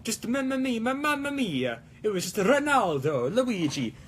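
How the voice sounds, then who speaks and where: A man doing a high, excitable cartoon Italian guy. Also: normal speed, a little hiss or hum. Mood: excited. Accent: mock Italian. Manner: exclamatory, playful, sing-song broken English. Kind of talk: live-streamed prop-making vlog in a put-on accent.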